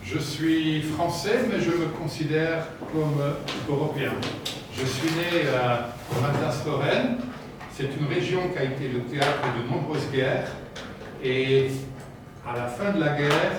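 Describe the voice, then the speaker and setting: Middle-aged man from France, measured hesitant speaker; distant mic, very roomy, noisy. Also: fairly high pitch. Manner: slow, measured, hesitant with "euh" fillers. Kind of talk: formal talk with pauses for interpreting. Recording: echoey room from far off with noise.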